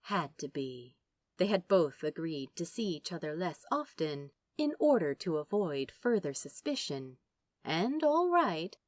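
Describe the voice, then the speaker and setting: A woman narrating a piece of fiction from a script in an expressive storytelling style. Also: fairly low pitch, no room sound. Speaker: podfic narrator.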